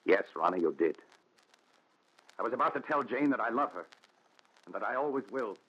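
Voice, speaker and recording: A man's voice: mid-range voice, lively intonation, a little fast, a bit bright, husky. A man playing a tormented, regretful, bitter husband in an earnest, melodramatic 1940s radio thriller style. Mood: defiant. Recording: noisy, dull, crackly 1940s radio recording.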